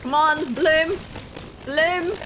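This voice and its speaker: A woman's voice: high-pitched, drawn-out words, background noise. A woman streamer giving a casual, exclamatory reaction as she plays a video game, cheering herself on. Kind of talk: excited gameplay reaction, casual chat.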